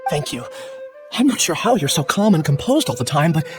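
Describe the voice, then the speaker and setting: An actor playing a troubled, self-doubting young amnesiac scholar in a video game, hesitant and earnest. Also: male voice, a little high, big pitch swings, a little fast, over music. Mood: subdued, apologetic. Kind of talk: scripted video game dialogue.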